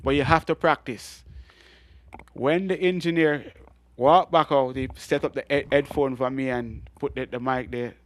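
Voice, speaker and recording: Man, Jamaican accent, deep voice, lively storytelling, dry recording. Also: normal speed, dry recording. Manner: animated storytelling with frequent laughs and restarts. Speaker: guest reggae artist. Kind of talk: storytelling interview.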